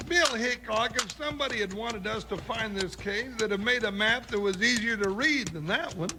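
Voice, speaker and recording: An actor playing a folksy, jumpy cowboy sidekick with a rustic American drawl in a 1950s radio western. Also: male voice, high voice, normal speed. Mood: bantering. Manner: comic rustic drawl, grumbling and excitable. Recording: noisy, crackly 1950s radio recording.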